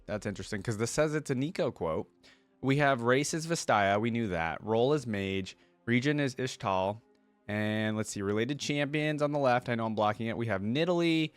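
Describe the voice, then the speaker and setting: A man's voice: mid-range voice, clean tone, dry recording. A man hosting a reaction video, talking and reading aloud in a casual, conversational way. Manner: conversational, informal. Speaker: YouTube reaction host.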